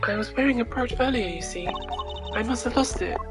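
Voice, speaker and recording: A woman voicing a formal, guarded foreign female singer in a video game, polite, measured and evasive. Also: fairly high pitch, music in the background, voice pushed up, natural voice.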